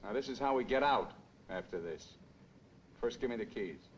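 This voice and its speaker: A man's voice: animated, normal speed, lightly acted. An actor playing a cold-blooded American gunman in a 1950s crime film, giving curt orders in tough, slangy speech. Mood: cold, menacing. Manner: curt barked commands, tough slangy grammar. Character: menacing, cold-blooded male gunman holding drivers captive. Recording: muffled old film soundtrack, noisy car rumble.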